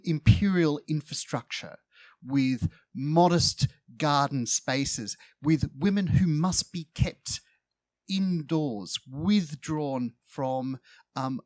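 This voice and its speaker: A man speaking in a literary panel discussion, choosing his words deliberately in articulate, scholarly phrases. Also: fairly high pitch, no room sound.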